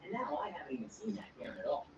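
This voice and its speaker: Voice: mid-range voice, sing-song, racing, a crowd in the background. A text-to-speech voice reading online stories aloud, spelling out abbreviations. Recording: distant echoey speaker audio, dull and noisy.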